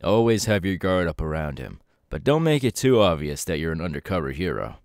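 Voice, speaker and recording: Guy doing a serious hero mentor for asmr roleplay, really low voice, dry close sound. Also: big pitch swings, brisk, darkish tone, dry recording. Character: serious young male pro hero mentor briefing his protégé.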